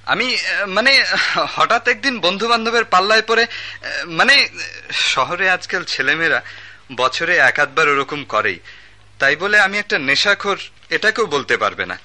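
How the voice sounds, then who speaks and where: Fumbling evasive young son, bright, lively pitch, faint background hiss, radio drama. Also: male voice, moderate pace, long pauses, full character voice. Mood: defensive. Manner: fumbling, evasive, many fillers. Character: sheepish young wealthy son caught drinking.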